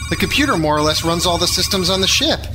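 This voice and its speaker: Man playing a grumbling computer tech, lively intonation. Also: high voice, fast talker. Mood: grumbling, self-important. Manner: dry, sarcastic, put-upon. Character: grumbling, self-important male spaceship computer tech, dryly sarcastic. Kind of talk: audio drama acting. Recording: loud music bed under the voice.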